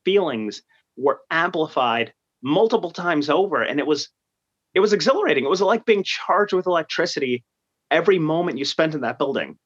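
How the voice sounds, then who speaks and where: A man's voice: fairly high pitch, a bit bright. A man, a cast member, talking in a vivid, emphatic way. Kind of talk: reflective chat. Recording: dull lo-fi audio.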